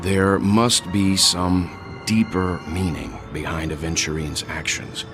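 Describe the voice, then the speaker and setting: Very deep, measured mentor. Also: male voice. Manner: formal, deliberate. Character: calm, wise, measured middle-aged male mentor and strategist. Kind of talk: scripted video game dialogue. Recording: music behind the voice.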